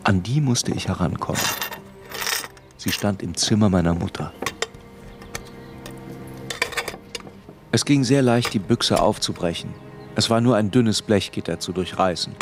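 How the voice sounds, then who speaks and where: Actor as grown man looking back on an anxious childhood, lowish voice, grave audiobook-like radio drama narration, music around. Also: close to an everyday voice. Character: grown man recalling his anxious, tormented boyhood. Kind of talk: literary first-person narration in a radio play.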